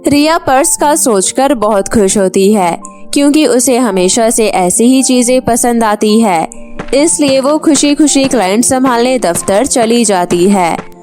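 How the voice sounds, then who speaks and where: A woman narrates a scripted children's moral story. Her voice sits fairly high. Faint music plays behind her as she tells the tale. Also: expressive, unhurried, a little mellow.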